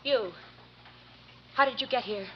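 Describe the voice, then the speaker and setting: An American actress playing a scheming, outwardly tender femme fatale in a 1940s radio melodrama, halting, breathy and emotional. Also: female voice, a little high, lightly acted. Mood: wary, pleading. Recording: muffled, noisy vintage 1940s radio.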